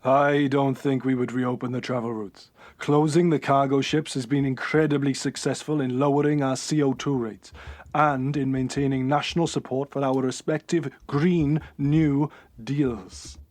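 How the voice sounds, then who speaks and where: A man's voice: medium pitch, little pitch movement, normal speed, a little mellow, no room sound, natural voice. A man acting an earnest, persuasive parliament delegate in a radio play, speaking formally.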